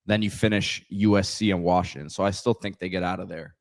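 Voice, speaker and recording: A man's voice: deep voice, steady tone, fairly quick. A man co-hosting a sports podcast in casual chat, often saying "like". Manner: plain, says "like" often.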